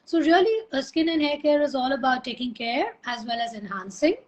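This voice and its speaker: High-voiced woman guest on a video call. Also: lively intonation, fairly quick. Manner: warm, complimentary, filler-heavy. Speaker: dermatologist guest. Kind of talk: casual video-call interview.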